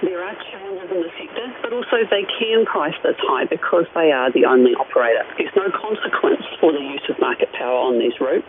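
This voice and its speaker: A New man gives a soundbite as an executive. He talks quickly in a slightly high voice. The radio sound is very narrow, with faint noise. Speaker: chief executive interviewed for news. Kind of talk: spoken news soundbite.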